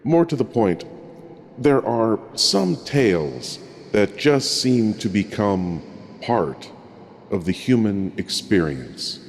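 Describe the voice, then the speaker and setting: A man hosts a horror show in a very low voice, thickened by the flu. His delivery is theatrical, slow and halting, and it carries a long hall-like reverb. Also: a little mellow, slight background noise. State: voice deepened by the flu. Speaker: horror host. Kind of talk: theatrical horror-host introduction.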